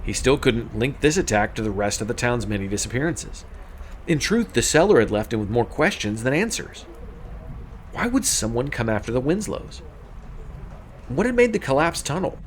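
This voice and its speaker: A man's voice: medium pitch, very animated, moderate pace, slight background noise. A man narrating a scripted audio story as its storyteller.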